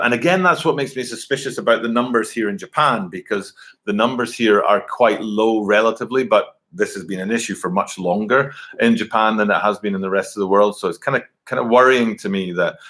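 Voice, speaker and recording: A man's voice: lowish voice, a little fast, fairly bright tone. A man, chatting casually on a live stream from his home computer, rambling with lots of fillers and restarts. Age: 40s. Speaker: livestream host. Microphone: computer webcam mic.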